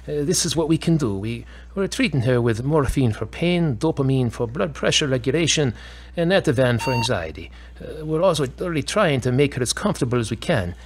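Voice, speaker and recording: A man's voice: medium pitch, expressive, a little fast, few pauses, a little hiss or hum, lightly acted. Hesitant, earnest man acting as a doctor, varied intonation. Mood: earnest, reassuring. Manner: hesitant, formal, explanatory. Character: apologetic male hospital doctor, earnest and hesitant. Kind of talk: audio drama dialogue.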